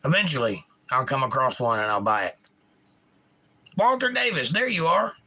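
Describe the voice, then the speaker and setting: A middle-aged man whose Georgia accent has drifted a bit north, chatting casually with his livestream viewers. His voice sits in an ordinary middle pitch with a bright, forward edge, and he spells out every consonant with care. He sounds animated and opinionated as he reads comments aloud and answers them. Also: normal speed. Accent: Georgia, US South. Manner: enunciates crisply, animated, opinionated. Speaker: livestream host.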